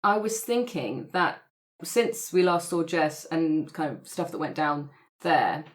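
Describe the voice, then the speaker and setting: A woman's voice: sits low, animated, takes it slowly. A woman chatting casually as a player in a tabletop roleplaying group.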